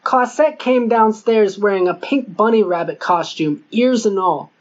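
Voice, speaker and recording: A woman's voice: medium pitch, measured pace. A woman reading a first-person novel aloud as an audiobook narrator. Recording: dull lo-fi audio.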